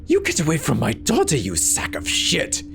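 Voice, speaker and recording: A man's voice: fairly high pitch, huge pitch swings, normal speed, creaky, over music, pitched above the performer's own voice, heavily acted. Man playing an anxious, protective dad. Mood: panicked, urgent. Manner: urgent, pleading, emotional. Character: anxious, protective father of a teenage girl.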